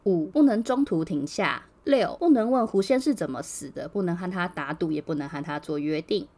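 A woman's voice: lowish voice, expressive, brisk, dark tone. A woman podcast host reading out scripted advice point by point. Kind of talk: scripted explainer, reading a numbered list.

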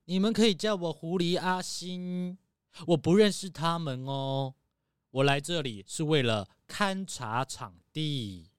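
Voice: medium pitch, a little slow, clear, resonant tone, no room sound, clean studio sound, lightly acted. A voice actor playing an irritable, self-centred young fox guide who argues and complains in a children's audio drama. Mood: defensive. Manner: indignant, argumentative, complaining.

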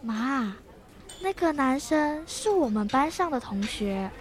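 A woman's voice: high-pitched, lively intonation, slow pace. An actress playing a cheerful schoolgirl who helps at her family's food stall in a children's radio drama. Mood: explaining.

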